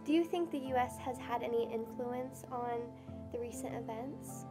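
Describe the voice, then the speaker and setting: A woman's voice: fairly high pitch, moderate pace, warm tone. A young woman acting as interviewer, putting short questions to a guest. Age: young adult. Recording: clear music behind the voice. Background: background music.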